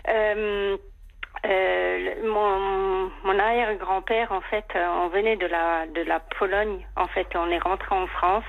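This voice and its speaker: A woman with a slight English accent calls in to a radio show. She speaks slowly and haltingly, stopping often as she works through something painful. Her voice comes through a muffled, lo-fi phone line. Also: medium pitch, steady tone. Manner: hesitant and halting, many fillers and restarts, emotional. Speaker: caller. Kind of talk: personal confession on call-in radio. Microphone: telephone line.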